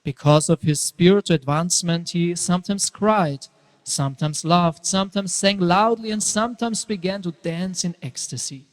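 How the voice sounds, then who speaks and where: Male narrator in a reverberant hall. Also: high-pitched. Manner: measured, storytelling. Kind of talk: scripted dramatic scripture narration.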